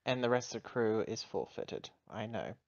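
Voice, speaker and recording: A player acts out a female android agent in a tabletop game. The speech is guarded, clipped and controlled, at a medium pitch. The audio is dry and slightly dull. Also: lively intonation, moderate pace, a little mellow, no room sound, natural voice. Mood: guarded, resigned. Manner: clipped, controlled, matter-of-fact.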